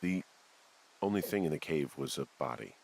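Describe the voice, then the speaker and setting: An American actor playing a weary, uneasy fire lookout in a video game, speaking plainly in halting, muttered conversation. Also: male voice, very deep voice, expressive, creaky, exaggerated voice. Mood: somber, anxious. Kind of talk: naturalistic game dialogue.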